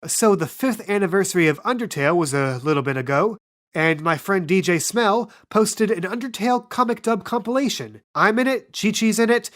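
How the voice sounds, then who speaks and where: A woman with an even-keeled, chatty delivery speaks as a podcast host and game master. Her announcements are casual and addressed straight to listeners. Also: medium pitch.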